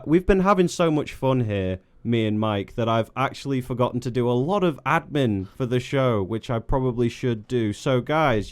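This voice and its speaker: A man's voice: mid-range voice, smooth. A young man hosting a live local radio show, chatty and self-deprecating, laughing easily with his guest. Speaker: radio presenter. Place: radio studio. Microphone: studio microphone.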